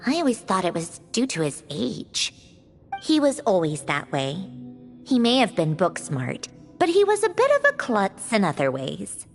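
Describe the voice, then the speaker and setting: A woman voicing a gentle, wistful young lady in an anime-style video game, with long reverb. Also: medium pitch, wildly swooping pitch, measured pace, faint music behind.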